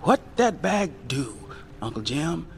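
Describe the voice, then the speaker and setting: A man voicing a frightened young girl carrying a baby, in a high, pleading storybook voice. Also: big pitch swings, slow, vocal fry, heavily acted.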